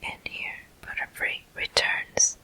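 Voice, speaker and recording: Very slow, breathy ASMR whisper. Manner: hushed, unhurried, gently explanatory, pausing between short phrases. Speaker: gameplay host. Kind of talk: whispered ASMR game walkthrough commentary.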